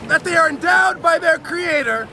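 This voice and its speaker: A man giving a loud, dramatic, emphatic reading of a historical document outdoors, with deliberate phrasing. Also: very high voice, animated. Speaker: reader at an outdoor public reading. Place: outdoors on a lakeside beach. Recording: outdoor crowd and motorboat noise.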